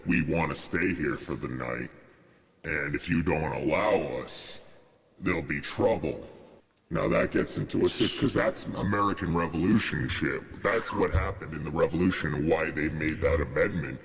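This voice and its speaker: A man's voice: very deep voice, a little slow, creaky. A man in loose, speculative casual podcast chat, leaning heavily on the word "like". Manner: loose, speculative, filler-heavy with "like". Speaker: podcast speaker. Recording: noisy, reverberant hall-like sound.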